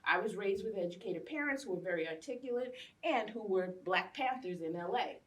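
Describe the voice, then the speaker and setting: A woman's voice: mid-range voice, lively intonation, brisk, fairly bright tone, far from the mic, rough recording. An American woman in her late fifties speaking in an impassioned, emphatic panel discussion, repeating phrases for effect. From Los Angeles, United States. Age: late 50s. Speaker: guest speaker, educator.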